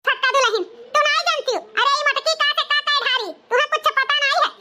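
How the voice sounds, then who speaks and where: A performer with a pitched-up, squeaky voice and an eastern Uttar Pradesh accent, voicing a melodramatic, complaining village woman in a comedy cartoon. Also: fast, noisy background. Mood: scolding, accusing. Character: scolding village mother-in-law and wronged widowed daughter-in-law, cartoon women.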